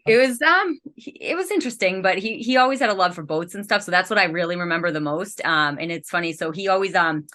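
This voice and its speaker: A woman's voice: on the low side, expressive, fast talker, few pauses, pushed and bright. A woman from Massachusetts speaking as a guest, chatty with frequent fillers. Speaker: nonprofit co-founder guest. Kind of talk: casual interview chat.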